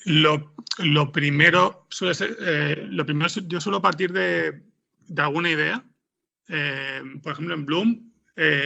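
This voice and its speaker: Man, very creaky, forceful bright tone, halting, repeats words, informal interview. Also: a little high, highs cut off. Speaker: podcast scriptwriter being interviewed.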